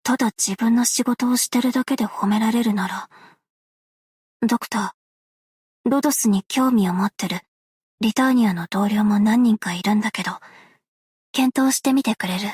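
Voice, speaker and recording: An actress playing a gentle, self-effacing young woman in a video game, soft and whispery, with a hushed, measured, wistful delivery. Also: mid-range voice, breathy edge, no echo, studio quality. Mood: hesitant, mild. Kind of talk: game character voice lines.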